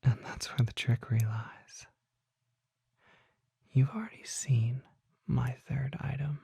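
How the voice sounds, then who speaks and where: An ASMR performer voicing a mysterious, learned vampire apothecary in a breathy, husky whisper, speaking formally in slow, deliberate phrases with measured pauses. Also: mid-range voice, fairly even intonation, dark tone, dry recording, studio quality, full character voice. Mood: hushed, mysterious. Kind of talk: whispered ASMR roleplay, slow scripted monologue. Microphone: close microphone.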